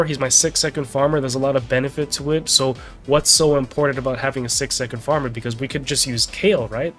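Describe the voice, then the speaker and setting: A man in his thirties, slightly nasal as he gets over a sickness, giving a casual game walkthrough. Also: medium pitch. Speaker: game guide video host. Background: background music.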